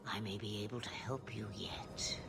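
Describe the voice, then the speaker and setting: An older woman playing an ancient, cryptic witch in a fantasy game, teasing and theatrical, with a breathy, husky edge. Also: a bass voice, steady tone, over loud music. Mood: intrigued, musing. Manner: slow, amused, teasing, with dramatic pauses. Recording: loud background noise.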